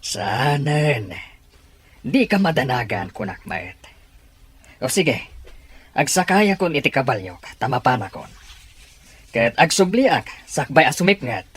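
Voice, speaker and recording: An actor playing a tribesman and husband with a gentle, reassuring manner, in radio-style drama. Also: medium pitch, very animated, a little slow, pauses often, breathy edge, full character voice. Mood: calm. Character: calm, reassuring husband and tribesman setting out riding. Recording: music under the voice.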